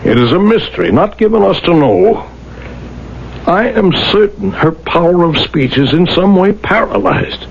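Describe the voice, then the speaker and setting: An actor playing a gentle, devoted merchant husband, sorrowful and earnest, in a 1970s radio drama. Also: male voice, sits high, lively intonation, a little slow, gravelly, heavily acted. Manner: earnest, emotional, pleading. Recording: dull, noisy old radio recording.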